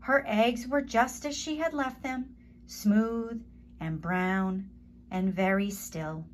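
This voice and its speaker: A woman storyteller reading a children's story aloud in an expressive, deliberate manner. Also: mid-range voice, slight background noise.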